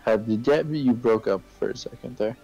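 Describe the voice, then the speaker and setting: A man chatting casually as he streams a game, halting and often repeating his words. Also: mid-range voice, vocal fry, dark tone, slightly rough, dull audio. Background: video game music.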